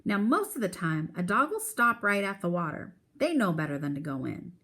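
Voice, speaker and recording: A woman's voice: medium pitch, very animated, moderate pace, fairly bright tone, breathy edge, exaggerated voice. A proud old Southern country man , read by a woman, spins a folksy yarn. The telling is drawn out and earnest, in storybook read-aloud style. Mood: earnest storytelling.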